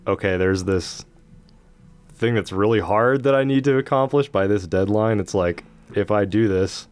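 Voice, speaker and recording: A man's voice: lowish voice, moderate pace, clear, resonant tone. Laid-back young man hosting college radio. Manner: laid-back, casual, filler-heavy. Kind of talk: casual radio interview. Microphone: studio microphone.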